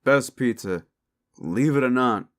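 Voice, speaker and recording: A man playing a gruff, world-weary demon agent who hunts monsters, with a terse, laid-back, wry drawl, in an action-horror audio drama. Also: medium pitch, expressive, measured pace, lightly acted. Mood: casual, wry.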